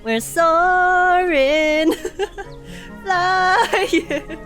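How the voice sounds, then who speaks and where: A woman's voice: very high voice, very slow, fairly bright tone, faint music behind. A woman streamer giving live game reaction commentary in a playful, exclamatory, theatrical manner.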